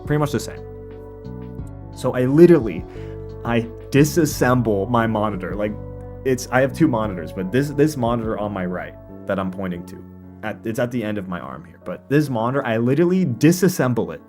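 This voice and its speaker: A man live streaming in casual, informal chat, repeating himself excitably and swearing now and then. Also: medium pitch, fairly quick. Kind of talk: casual livestream chat, commenting on own video. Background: quiet lo-fi music.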